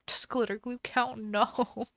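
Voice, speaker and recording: A woman's voice: on the high side, little pitch movement, takes it slowly, creaky, fairly bright tone, no room sound. A woman live streaming a game in casual chat, chatty and giggly, her voice slightly stuffy from a cold. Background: faint background music.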